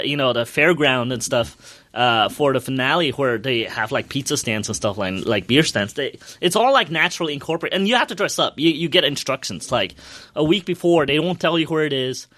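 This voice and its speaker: A man's voice: mid-range voice, animated, a little fast, sharp tone, dry recording. A man chatting casually as a podcast co-host, enthusiastically recounting an experience in a rambling way, often repeating his words.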